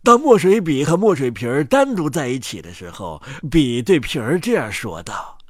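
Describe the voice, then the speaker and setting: A man reading a fairy tale as scripted narration in an expressive storytelling style. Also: medium pitch, normal speed, dry recording, studio quality.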